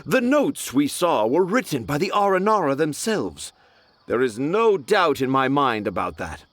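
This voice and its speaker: A man's voice: a little high, very animated, a little slow, a bit bright, no room sound. A man voicing a pompous male writer in a game, grandiloquent and theatrical. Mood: grandiose. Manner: grandiloquent, self-satisfied, theatrical. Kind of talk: game voice acting.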